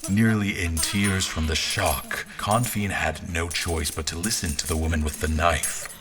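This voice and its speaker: A man's voice: low voice, steady tone, measured pace, noisy background. A man playing a haughty royal officer, narrating an audio drama in a dry, formal manner. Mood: astonished, wry. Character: haughty, observant male royal officer recounting events. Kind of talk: scripted first-person narration.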